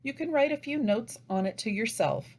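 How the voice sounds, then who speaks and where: Female trainer for instructional narration: slightly raspy tone, lively intonation, deliberate and didactic delivery. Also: on the high side. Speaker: teacher trainer.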